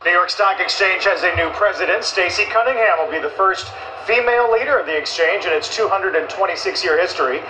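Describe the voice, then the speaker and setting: A man anchoring a TV business news interview, asking brisk prompting questions. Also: high voice, reverberant, background noise.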